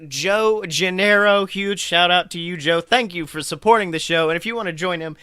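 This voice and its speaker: A man co-hosting a podcast, casually bantering and swearing, with jokey, animated reactions. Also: high-pitched, clean tone, no room sound, clean studio sound. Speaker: podcast co-host. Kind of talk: casual banter and mocking fan fiction read-aloud.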